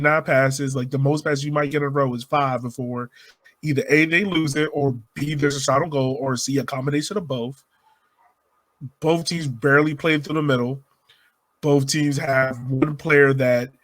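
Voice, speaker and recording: A man's voice: a little high, fairly bright tone, dry recording. A man co-hosting a podcast, giving casual sports analysis in a conversational way. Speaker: podcast co-host.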